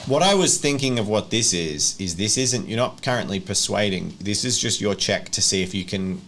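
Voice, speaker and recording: Lowish-voiced male game master. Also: animated, moderate pace, fairly bright tone, a little hiss or hum. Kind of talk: improvised tabletop game narration and rules talk.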